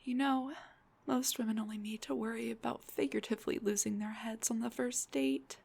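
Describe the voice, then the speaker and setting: A young woman playing an embarrassed, self-deprecating female Dullahan in an intimate audio roleplay, hesitant and rambling, with a husky, breathy voice. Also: a little high, fairly quick, a little mellow. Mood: nervous, confessional. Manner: hesitant, stammering, rambling confession.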